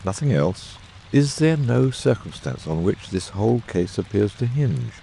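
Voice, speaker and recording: A man playing a shrewd, probing Victorian gentleman detective in a dramatic audiobook, incisive and questioning. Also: lowish voice, measured pace, creaky, slight background noise, heavily acted. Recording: steady rain ambience over voice. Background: rain.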